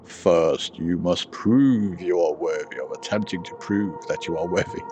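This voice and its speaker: A man voicing a solemn, pious ship's navigator in a formal, preachy, measured way for a game. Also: low-pitched, voice pushed down.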